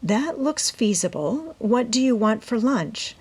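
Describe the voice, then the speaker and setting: A woman voicing a dignified, indulgent and practical mother with a measured, questioning manner in a storybook reading. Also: on the high side, animated, darkish tone, slightly rough, pitched above the performer's own voice. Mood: practical, sober.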